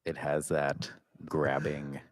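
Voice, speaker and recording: Very deep-voiced man, casual podcaster. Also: monotone, takes it slowly, no echo, studio quality. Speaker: podcast co-host. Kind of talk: casual chat.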